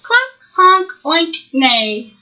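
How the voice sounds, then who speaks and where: A woman's voice: very high voice, sing-song, extremely slow, pushed and bright, dull audio, pitched above the performer's own voice, full character voice. A woman voicing a silly, gleeful male puddle duck from a storybook, playfully honking and clucking.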